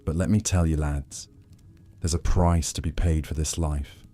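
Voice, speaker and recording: A man playing a low, grizzled old pirate. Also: fairly even intonation, measured pace, warm tone. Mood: grim, challenging. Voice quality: low, rough, raspy. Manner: grim yarn-spinning in sailor dialect. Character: grizzled old male pirate, haunted, grim, world-weary.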